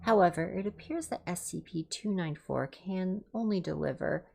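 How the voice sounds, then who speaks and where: A woman reading aloud in an informal ASMR livestream style, in a measured, careful way. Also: lowish voice, gravelly, mellow, breathy edge. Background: music.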